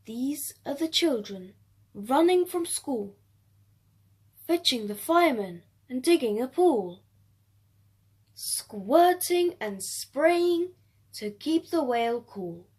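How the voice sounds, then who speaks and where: A woman reading a rhyming children's story aloud in an expressive, measured way. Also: high-pitched, slightly rough.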